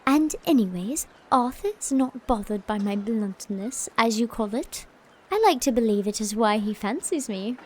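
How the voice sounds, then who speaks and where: Woman playing a blunt, lively young lady. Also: a little high, unhurried. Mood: playful. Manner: blunt, playful, breathless. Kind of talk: audio drama acting.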